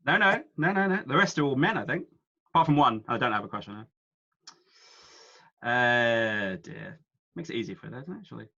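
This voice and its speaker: A man's voice: mid-range voice, vocal fry, bright, forceful tone. Man reading quiz clues over lo-fi video call. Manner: reads each clue slowly twice. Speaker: quiz host.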